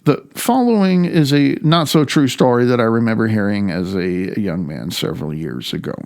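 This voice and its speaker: A middle-aged man reading his own nonfiction audiobook in a deliberate, measured manner with drawn-out emphasis. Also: on the low side, animated, a little mellow.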